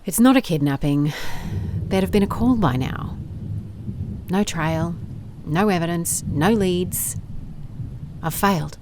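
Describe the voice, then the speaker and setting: A woman's voice: fairly low pitch, slow, pauses often, noisy background. A woman playing a weary, sardonic female private investigator, speaking in a dry, confessional audio diary style for an audio drama. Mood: bleak, dejected.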